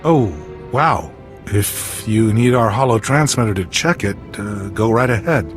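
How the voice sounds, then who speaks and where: A man's voice: lowish voice, unhurried, faint music behind, natural voice. A man as a plain-spoken resistance soldier. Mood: friendly relief. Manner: informal, matter-of-fact military briefing. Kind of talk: video game voice acting.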